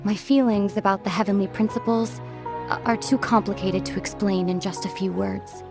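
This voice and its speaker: A woman voicing a gentle but resolute, world-weary young wanderer in a video game cutscene, speaking softly in a measured, pensive way. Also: medium pitch, fairly even intonation, creaky, close to an everyday voice. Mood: sorrowful, restrained. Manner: soft, measured, pensive, with sighs and pauses. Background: background music.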